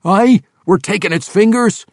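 A man voicing a brash, belligerent young male dwarf warrior in an audiobook, with a gruff brogue. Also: high-pitched, a little slow, darkish tone, no room sound, clean studio sound, pitched above the performer's own voice. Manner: belligerent, taunting. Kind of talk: audiobook character voice.

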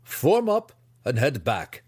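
A man reading an audiobook, voicing a harsh, furious male dark elf weapons master who snarls and shouts his words out slowly. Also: medium pitch, wildly swooping pitch, husky, voice pushed up, exaggerated voice. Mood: commanding. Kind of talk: audiobook character voice.

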